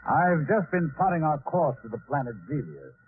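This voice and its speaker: A man's voice: fairly high pitch, big pitch swings, normal speed, a little hiss or hum. A man playing a composed spaceship commander who speaks with formal authority in an old radio drama. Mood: composed, courteous. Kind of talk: 1940s radio drama acting. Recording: tinny old radio recording, loud music.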